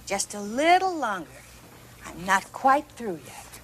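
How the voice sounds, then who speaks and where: A woman's voice: medium pitch, sing-song, slow pace, fairly bright tone, a little hiss or hum. A woman playing a doting, possessive witch mother in a fairy tale drama, soothing and coaxing in singsong.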